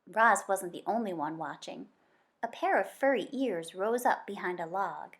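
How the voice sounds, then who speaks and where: A woman reading a children's book aloud as an expressive story narrator. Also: medium pitch, moderate pace. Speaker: story reader.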